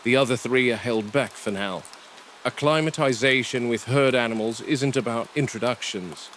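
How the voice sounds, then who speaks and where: A man playing a watchful, weary dinosaur park game warden, narrating a found-footage log in a measured, deliberate way. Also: medium pitch, a bit bright, close to an everyday voice. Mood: patient, watchful. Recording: noisy field recording with vehicle ambience.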